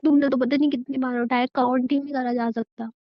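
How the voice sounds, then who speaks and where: A woman's voice: sits high, level tone, quick pace, natural voice. A woman playing a resentful, wounded wife in an audio drama, reproachful and stubborn with a plaintive delivery. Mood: accusing. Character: wounded, resentful young wife, defiantly rebuffing her husband. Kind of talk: Hindi audio drama dialogue, emotional acting. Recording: muffled phone-like sound with room echo.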